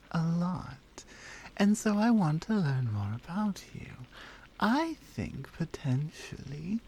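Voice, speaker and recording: A woman playing a strong, tender lioness in an intimate ASMR roleplay, speaking softly and earnestly with deliberate, halting phrasing. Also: fairly low pitch, a little hiss or hum, full character voice. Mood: earnest, affectionate.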